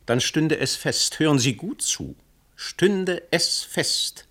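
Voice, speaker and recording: An actor in a 1960s German radio play, playing a calculating political negotiator, formal, pointed and emphatic. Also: male voice, on the high side, lively intonation, takes it slowly, bright, forceful tone, full character voice. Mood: insistent.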